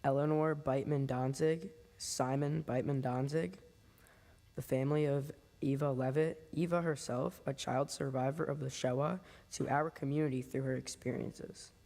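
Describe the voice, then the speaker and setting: A young man solemnly reading a list of names at a memorial in a steady recitation. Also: mid-range voice, moderate pace, creaky. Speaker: student reader. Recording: long hall reverb.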